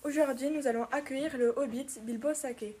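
A woman's voice: high voice, brisk, mellow, slight background noise. A woman journalist asking polite, scripted interview questions.

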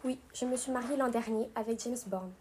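A woman's voice: on the high side, a little fast, a little mellow, slight background noise, close to an everyday voice. A woman playing a confident female superhero spy, answering plainly and steadily. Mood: steady.

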